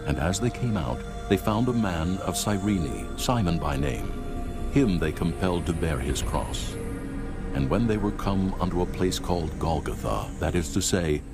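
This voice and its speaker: A man narrating dramatized scripture in a solemn, measured manner. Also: low-pitched, animated. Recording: prominent music behind the voice.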